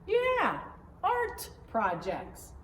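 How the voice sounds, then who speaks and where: A woman's voice: very high-pitched, wildly swooping pitch, very slow, pitched above the performer's own voice, heavily acted. A woman voicing an eager, curious little girl in a picture-book read-aloud. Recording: roomy, distant mic, faint background noise.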